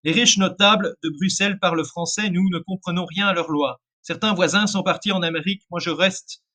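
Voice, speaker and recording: A man's voice: high voice, steady tone, brisk, pushed and bright. A man reading the diary of a starving 1840s Flemish farmer in his own voice. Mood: grim.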